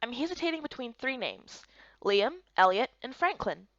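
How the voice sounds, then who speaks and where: Woman acting low-key, puzzled young woman, slightly muffled. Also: sing-song, normal speed, a bit bright, no room sound. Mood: puzzled, polite. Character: young human woman newcomer, puzzled, polite, caring. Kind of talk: voiced playthrough of fantasy visual novel.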